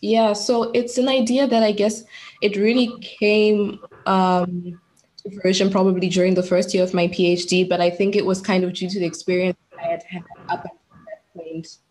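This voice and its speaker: A woman's voice: mid-range voice, little pitch movement, moderate pace, darkish tone, low-quality recording. A woman in her twenties from Zimbabwe, a PhD researcher speaking as an interview guest in a reflective, articulate way. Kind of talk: interview over a call.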